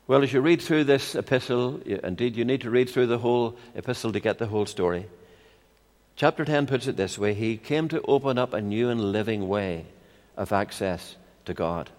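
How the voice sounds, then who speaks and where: An older man with a Northern Irish accent preaching a sermon in a measured, expository way, with rhetorical questions and personal anecdotes. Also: mid-range voice, darkish tone. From Ireland. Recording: long hall reverb.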